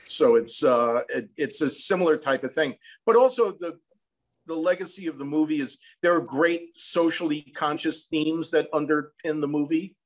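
A man's voice: a little high, moderate pace. Reflective older American man telling stories. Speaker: songwriter, interview guest. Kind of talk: conversational storytelling. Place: room at home, indoors. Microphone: video call.

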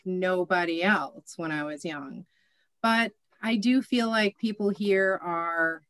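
A woman's voice: on the low side, fairly bright tone, no room sound. A middle-aged woman talking candidly and informally on a panel, repeating words for emphasis, on a video call. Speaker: panelist, business owner. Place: small office indoors. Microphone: computer on a video call.

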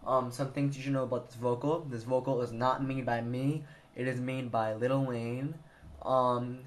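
A young adult man giving a casual, hesitant tutorial, thinking aloud as he goes. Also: mid-range voice. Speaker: tutorial presenter. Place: indoors at a computer. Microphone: handheld camera mic. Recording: noisy handheld camera recording.

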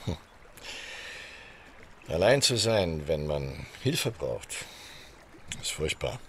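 An actor playing a courteous, guarded middle-aged widowed ambassador in a radio crime drama, speaking formally and with measured hesitation. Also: male voice, a bass voice, big pitch swings, fairly bright tone, slight background noise, full character voice. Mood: guarded, sombre.

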